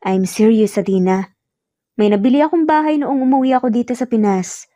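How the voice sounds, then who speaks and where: Woman acting as young male suitor, romance audio story, earnest, gentle, teasing, soft mellow tone, dry sound. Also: a little high, animated, brisk, dry recording, natural voice. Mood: tender, sincere. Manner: gentle, sincere, code-switching into English.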